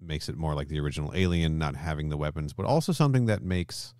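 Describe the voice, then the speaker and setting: Guy with a super low voice chatting as podcast cohost, totally dry sound. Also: quick pace, a little mellow, no room sound. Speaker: podcast co-host. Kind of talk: casual discussion.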